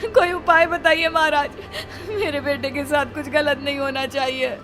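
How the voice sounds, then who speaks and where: Lady doing a worried village mom, high pitched, urgent n emotional, protective, music under it. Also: fairly even intonation, normal speed, a bit bright. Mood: anxious, imploring. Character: anxious, protective village mother, pleading and scolding. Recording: music playing behind the voice.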